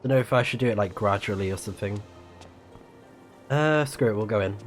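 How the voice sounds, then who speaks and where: A man's voice: fairly low pitch, a little fast, soft background music. A man giving casual live commentary as he plays a video game, thinking aloud. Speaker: game streamer.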